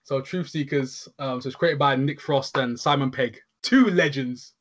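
A man co-hosting a show, talking casually in an excitable, slangy way. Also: mid-range voice, moderate pace. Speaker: co-host reviewing shows. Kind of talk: casual chat.

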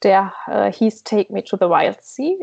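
A woman's voice: medium pitch, expressive, measured pace, gravelly, darkish tone, breathy edge, muffled recording. A woman chatting hesitantly as an interview guest over an internet call, often searching for words. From Germany. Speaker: podcast guest, emigrant blogger. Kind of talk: casual conversational interview.

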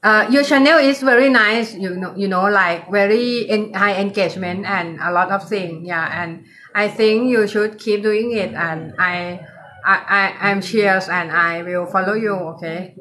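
A woman with a Thai accent hosting a casual livestream chat. She speaks in halting English and keeps repeating "yeah, yeah, yeah.". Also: medium pitch, a bit bright. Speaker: livestream host.

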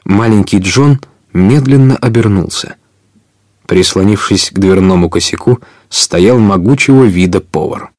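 Man as storyteller for an adventure radio play, lowish voice, measured narration. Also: darkish tone, no echo, clean studio sound, lightly acted. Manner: measured, clearly told storytelling. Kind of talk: scripted narration of an audio drama.

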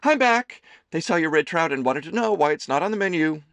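A man voicing a doting, gossipy, middle-aged mother who runs a diner, loud and theatrical. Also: lively intonation, a little fast, no echo. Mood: teasing. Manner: loud, theatrical, high chirpy voice.

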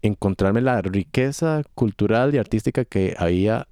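Guy on a panel, warm low voice, dry, thoughtful. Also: moderate pace, clear, resonant tone, no room sound. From Costa Rica. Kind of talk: panel discussion.

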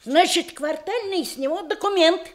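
A woman's voice: very high-pitched, normal speed. An actress playing a gossipy, motherly older housekeeper in a radio drama, talking in an emphatic, homespun way. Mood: relishing gossip. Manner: colloquial, emphatic, storytelling housewife talk.

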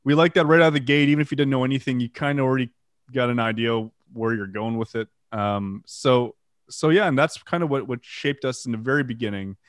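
A man's voice: mid-range voice, fairly quick, dry recording, studio quality. A French Canadian man, a metal band's vocalist, telling his band's story in a casual interview, rambling with fillers and casual swearing. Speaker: band vocalist and guitarist.

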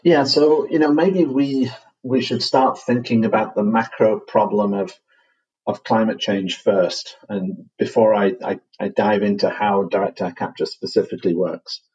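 A man with a Manchester accent, a guest on a podcast interview, talking in a measured way with frequent fillers and restarts. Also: lowish voice, steady tone, a little mellow, slightly rough, muffled recording. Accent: Manchester English. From England. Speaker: guest, company CEO.

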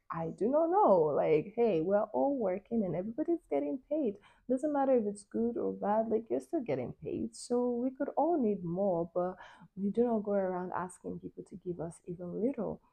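A young woman from Nigeria telling a travel story in a chatty, animated way, with lots of fillers and little laughs. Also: mid-range voice, vocal fry, husky, low-quality recording. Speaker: travel vlogger. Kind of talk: casual storytelling to camera.

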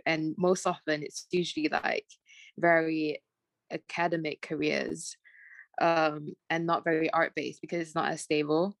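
A woman's voice: deep voice, dry recording. Young Malaysian woman, filler-heavy chat. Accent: Malaysian with British and American traces. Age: young adult. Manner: rambling, many "like" and "um" fillers, self-restarts. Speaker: guest, fashion graduate. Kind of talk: casual podcast interview.

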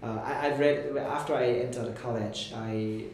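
A man's voice: medium pitch, steady tone, moderate pace, a little mellow. The guest at a casual interview in a bare, heavily echoing room with a faint hiss of noise, a Japanese-accented man feeling for his words. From Tokyo, Japan. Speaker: interview guest, startup investor.

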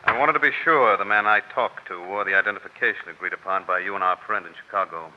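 An actor playing a cold, careful crime boss who speaks in a measured, formal, menacing way, in 1940s radio style. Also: male voice, low-pitched, close to an everyday voice. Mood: smooth, businesslike menace. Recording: muffled old radio recording.

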